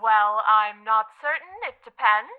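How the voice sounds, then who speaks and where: An actress playing an unflappable, officious telephone operator in a 1940s radio drama, formal and procedural, with slightly dull sound. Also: female voice, a little high, a little slow, clear, resonant tone, close to an everyday voice. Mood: polite, procedural. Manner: formal, procedural, clipped politeness.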